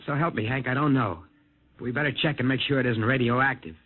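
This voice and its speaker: An actor playing a shaken, earnest young male test pilot in a 1950s radio drama, with a husky voice. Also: medium pitch, quick pace, close to an everyday voice. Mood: urgent. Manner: earnest, urgent. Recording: tinny old radio broadcast.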